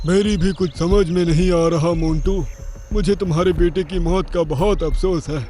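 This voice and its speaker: A man voicing a shrewd lion king in a children's cartoon, with deliberate, regal delivery. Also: high voice, steady tone, dark tone, close to an everyday voice. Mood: reassuring. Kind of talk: children's cartoon character acting.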